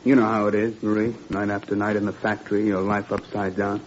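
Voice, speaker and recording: A man's voice: lowish voice, little pitch movement, brisk, natural voice. An actor playing a weary, affectionate father, a wistful former professor, in 1940s radio drama style. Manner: wistful, fatherly, ends remarks with 'huh?'. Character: weary, affectionate father, dismissed professor turned factory worker. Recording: muffled, noisy 1940s radio recording.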